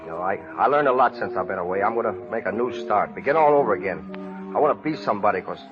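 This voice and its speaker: An actor in a 1930s radio drama playing an earnest, rough-spoken small-town man, talking in a folksy, halting way. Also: on the low side, lively intonation, close to an everyday voice. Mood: tender, hopeful. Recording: muffled vintage 1930s radio recording, music behind.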